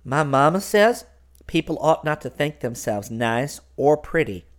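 A man's voice: a little high, lively intonation, measured pace, pitched above the performer's own voice. A man voicing an earnest, modest and polite little girl turned lark in a storybook. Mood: frank, earnest. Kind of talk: storybook character voice.

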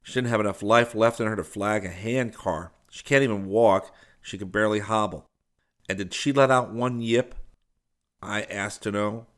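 A man's voice: lowish voice, normal speed, fairly bright tone, close to an everyday voice. A man voicing a brash, hearty young scientist hero in an audiobook, with slangy, emphatic delivery. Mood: admiring. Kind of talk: audiobook character dialogue.

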